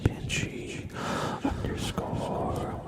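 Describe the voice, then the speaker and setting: A man hosting an ASMR livestream, talking softly and intimately to his chat and often slipping into whispers, close to the microphone. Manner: gentle, drawn-out delivery slipping into whispers.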